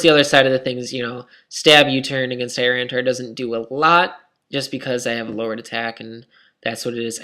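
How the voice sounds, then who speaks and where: A man's voice: mid-range voice, pushed and bright. Young man, scratchy tired voice, casual game commentary, rambling, self-critical, lots of ums. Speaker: gaming commentator.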